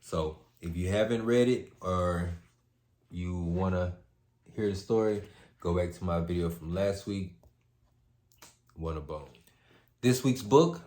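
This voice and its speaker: A man hosting a story time, with a laid-back, slangy manner, moving between casual chat and expressive read-aloud. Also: low-pitched, slow, long pauses. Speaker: host reading a story aloud.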